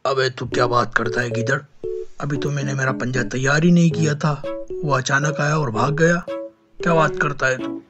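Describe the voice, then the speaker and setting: A woman acts out an old lion king from a cartoon fable, far deeper than natural talk. He is bossy and blustering, with loud music playing behind him. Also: fairly even intonation, normal speed, natural voice. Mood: indignant, blustering. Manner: commanding, blustering. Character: old hungry male lion, gruff jungle king, bossy. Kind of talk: animated animal fable dialogue.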